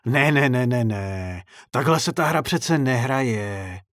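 Sinister circus clown ghost, voiced by a male reader: husky, gleefully vengeful, animated and raised in pitch. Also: no echo, studio quality, exaggerated voice. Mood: scolding, teasing. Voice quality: raspy, throaty laugh. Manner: mocking, sing-song scolding.

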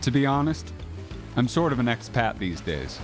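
A male actor voices a reserved, wry retired traveler in an audio drama. His intonation moves widely while his wording stays hesitant. Music is clearly audible behind him. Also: medium pitch, sing-song, moderate pace, lightly acted. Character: reserved, wry Canadian retiree, island hopping. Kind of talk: naturalistic audio drama acting.

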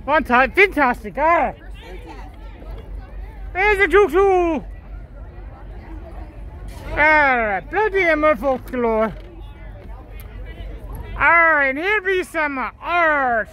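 A woman with a high, bright voice tours an outdoor convention, dropping pirate growls and "arr" into her casual walk-through. She speaks slowly, lingering over each booth, while a faint crowd murmurs behind her. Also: expressive. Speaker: vlogger touring a convention. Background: faint crowd chatter.

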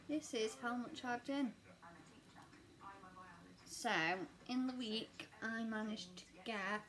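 Slow, chatty woman with a croaky voice. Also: fairly high pitch, a bit bright. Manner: chatty, rambling, self-deprecating. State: slightly croaky voice. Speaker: cross-stitch vlogger. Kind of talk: casual chat showing stitching projects. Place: living room at home, seated on a sofa.

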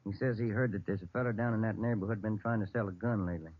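A man's voice: fairly low pitch, steady tone, quick pace, lightly acted. A man with an American accent playing an easygoing, folksy police detective in an old-time radio drama. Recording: muffled, noisy vintage radio recording.

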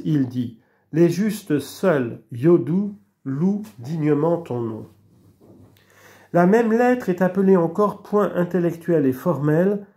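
A man slowly reading aloud from an old theology book, measured and deliberate, with small restarts. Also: a little high, dark tone. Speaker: reader of a religious book. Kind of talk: slow read-aloud of a theology text.

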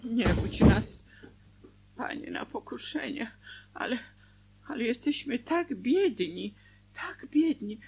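An actress playing a fretful, worried wife in a radio play, speaking in a hesitant, emotional conversational way. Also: female voice, a little high, expressive, takes it slowly, creaky, pauses often, darkish tone, a little hiss or hum, heavily acted. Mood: anguished temptation. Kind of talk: Polish radio play acting.

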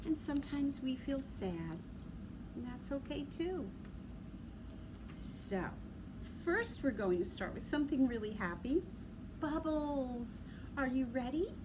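A middle-aged woman talking to young children as a library story time host, in an animated, sing-song way with simple questions. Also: high-pitched, slow, long pauses. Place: large library room with big windows, indoors. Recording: clear background noise, room ambience.

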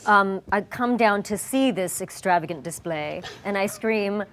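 A woman's voice: mid-range voice, unhurried. A woman playing a tabletop game, describing her character's actions in an urgent way. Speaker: player. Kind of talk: tabletop roleplay.

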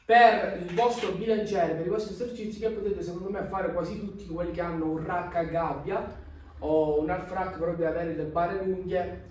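A young man chats through a how-to in his home gym, pointing at the gear as he explains. His voice sits high for a man. He is heard from across a large, very echoey room. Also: fairly even intonation, moderate pace, darkish tone, far from the mic, a little hiss or hum. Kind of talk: casual how-to vlog. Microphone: camera across the room.

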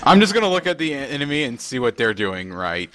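A man on a voice call with teammates during a game, excitable and animated. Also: medium pitch, fast, background noise. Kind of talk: casual game chat over voice call.

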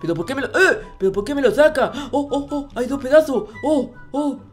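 A man's voice: very high-pitched, expressive, fairly quick. A man playing a naive, childlike, devoted little character in improvised video game roleplay, stammering and pleading. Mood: panicky pleading. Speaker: gameplay roleplay YouTuber. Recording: music behind the voice.

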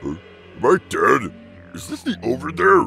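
Cartoon monster turtle king: gravelly, blustering bully, wildly swinging pitch, short fuse. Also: huge pitch swings, a little slow, bright, forceful tone, faint music behind, exaggerated voice. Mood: smug. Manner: blustering, threatening, insulting. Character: huge grumpy, hot-tempered male turtle monster king. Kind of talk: fan cartoon comedy voice acting.

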